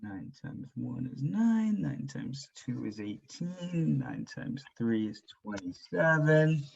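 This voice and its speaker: A man playing a stressed, grateful parent in a staged reading, terse and subdued, with a gravelly, husky voice. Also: mid-range voice, sing-song, slow, darkish tone, dull audio, full character voice. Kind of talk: staged reading over video call.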